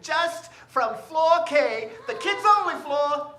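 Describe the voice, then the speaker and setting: A woman's voice: high voice, expressive, slow, fairly bright tone, a little hiss or hum, full character voice. A woman playing a doting, jovial father in a 1940s radio drama, with a folksy manner. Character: doting, jovial young father, a store greeter. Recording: echoey stage.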